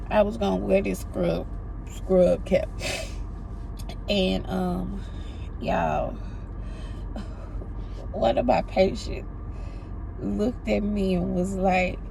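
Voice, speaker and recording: A woman's voice: mid-range voice, vocal fry, a little hiss or hum, low-quality recording. Chatty, sniffly young woman. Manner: chatty, drawling, addresses viewers as y'all. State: congested, sniffling. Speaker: pediatric nurse and vlogger. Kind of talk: casual vlog chat. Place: car and errands.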